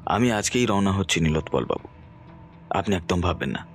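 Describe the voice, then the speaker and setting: A man's voice: sits low, lively intonation, normal speed, a little hiss or hum. A man playing a frightened, guilty, submissive husband with a nervous stammer in an audio drama.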